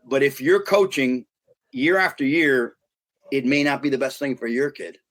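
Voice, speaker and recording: A man's voice: mid-range voice, normal speed, fairly bright tone. Middle-aged american guy on a podcast, earnest, keeps restarting his sentences. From United States. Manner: earnest and emphatic, with frequent restarts. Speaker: guest, youth sports consultant. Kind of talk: podcast interview talk.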